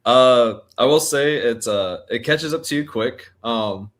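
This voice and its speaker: A man in his twenties answering interview questions as a baseball pitcher in a casual, laid-back, colloquial way. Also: measured pace, a bit bright. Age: 20s.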